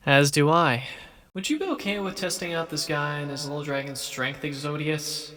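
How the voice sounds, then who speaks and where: A man's voice: on the high side, unhurried, long pauses, a bit bright, no echo. A man voicing a confident, wisecracking young card duelist in an anime fan production, casual and theatrical. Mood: casual, wry. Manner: casual, wry, theatrical when announcing moves.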